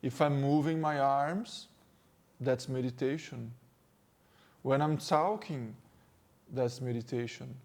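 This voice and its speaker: A man from Brazil with a Brazilian Portuguese accent, speaking English as a second language and giving a calm spiritual talk as a teacher, slow and gentle with pauses. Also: mid-range voice. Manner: slow, gentle, with pauses and non-native phrasing. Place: sitting indoors.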